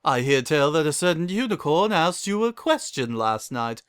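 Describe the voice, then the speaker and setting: A man's voice: on the high side, sing-song, normal speed, a bit bright, clear, resonant tone, dry recording, studio quality, voice pushed up. A man voicing a genteel noble unicorn mare and mother with a refined, knowing, polite manner.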